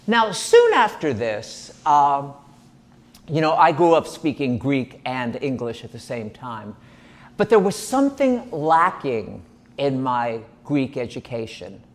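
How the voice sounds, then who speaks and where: A man's voice: mid-range voice, sing-song, slow pace. A man performing a one-man comic storytelling show on stage. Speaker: solo performer. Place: gallery stage.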